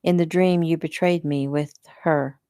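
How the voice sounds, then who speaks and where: A woman reading the part of a vulnerable but defiant young woman who speaks softly. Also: sits low, fairly even intonation, a little slow, no echo, clean studio sound. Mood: hurt. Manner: soft, pleading.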